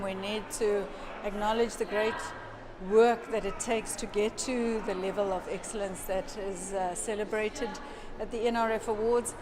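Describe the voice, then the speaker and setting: A woman speaking earnestly and emphatically in an event interview about celebrating science. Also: a little high. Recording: noisy event recording.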